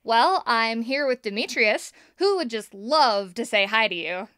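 Woman playing an earnest, high-voiced young friend. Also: expressive, a little slow, fairly bright tone, smooth, dry recording, studio quality. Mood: persuasive. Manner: eager, insistent. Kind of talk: modern audio drama acting.

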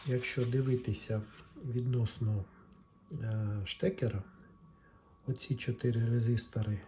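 A man giving an informal technical tutorial, explaining step by step in a halting way. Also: medium pitch, steady tone, mellow, a little hiss or hum. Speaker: electronics repair hobbyist presenter.

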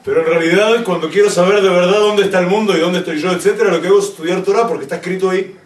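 A man's voice: high-pitched, steady tone, very fast, far from the mic. A man who is a rabbi gives a Torah lesson to a community, speaking emphatically, using rhetorical questions and wry asides. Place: community hall. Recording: roomy echo, from away from the mic.

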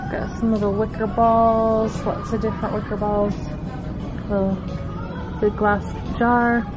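A woman's voice: fairly high pitch, level tone, slow pace, a crowd in the background, rough recording. A middle-aged woman filming a shop-with-me vlog in a home decor store, chatting about prices and cute items on the shelves while she holds the camera. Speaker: vlogger. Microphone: handheld camera. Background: background music.

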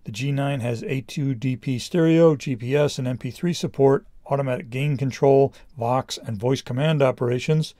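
Mellow man narrating a product review. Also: medium pitch, normal speed, few pauses, dry recording.

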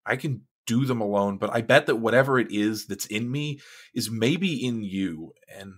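A man playing a weary, wry superhero archer who is gruffly protective, in a halting, understated way. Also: lowish voice, close to an everyday voice. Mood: halting, earnest. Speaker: voice actor in a fan motion comic. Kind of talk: dramatic comic-book voice acting with narration.

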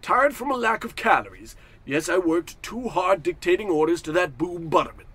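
A man's voice: sits high, expressive, moderate pace, fairly bright tone, breathy edge, exaggerated voice. A man playing a pompous, cantankerous, scornful rich businessman in a comedic old-time radio play. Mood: flustered. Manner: pompous, grumbling to himself.

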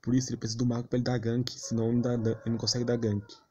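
A man's voice: medium pitch, little pitch movement, racing, a little mellow, breathy edge, muffled recording. A man with a Brazilian accent giving casual, slangy, blunt gaming commentary with mild cursing. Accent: Brazilian Portuguese. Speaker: gameplay streamer.

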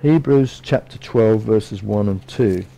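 A middle-aged man giving a sermon from a lectern in a small bright room, earnestly explaining and reading scripture verses aloud. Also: on the low side, creaky. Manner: measured, earnest, reads verses aloud from a book. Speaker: preacher.

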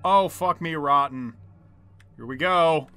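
A young man livestreaming a horror game, chatting to viewers with jokey, excitable, crude swearing. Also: fairly high pitch, takes it slowly, clean tone. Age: young adult. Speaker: game streamer. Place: small dim room indoors at home. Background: horror game music.

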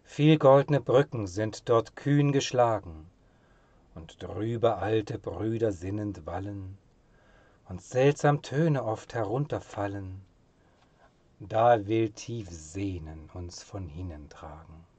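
A man with a low voice recites poetry very slowly and solemnly. His delivery is measured and expressive, easing into hushed phrase endings. The sound is dry, with hardly any room around it. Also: breathy edge, no echo.

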